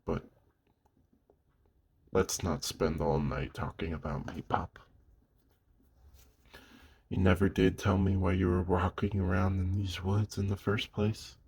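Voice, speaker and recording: A man playing a gruff, lonely old werewolf in an intimate ASMR roleplay, weary but gentle, speaking slowly and hushed with a husky, gravelly voice. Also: low voice, fairly even intonation, background noise. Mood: guarded, wistful. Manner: slow, hushed, with long pauses.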